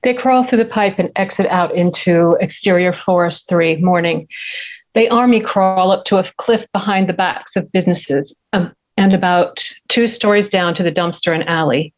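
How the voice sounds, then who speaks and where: A woman's voice: lowish voice, lo-fi sound. A woman reading out stage directions as scripted narration for a screenplay table read.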